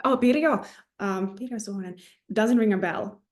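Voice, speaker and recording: A woman in her thirties from Finland, a native Finnish speaker, talks as a podcast guest. She sounds enthusiastic and warm, with a mid-range voice and a few restarts as she gathers her thoughts. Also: medium pitch, normal speed. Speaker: podcast guest, learning designer. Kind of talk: casual interview talk.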